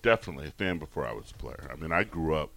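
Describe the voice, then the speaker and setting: A man's voice: very deep voice, racing, gravelly. A man from Nebraska, a former college football player, chatting as a guest on a radio show and telling rambling stories about his childhood. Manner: relaxed, rambling storytelling with restarts. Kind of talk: casual radio chat.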